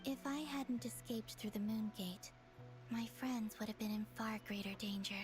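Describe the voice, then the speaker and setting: An actress playing a guarded, composed young moon goddess in a video game, speaking in a measured, reserved way. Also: female voice, a little high, natural voice. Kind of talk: dramatic video game dialogue. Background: music.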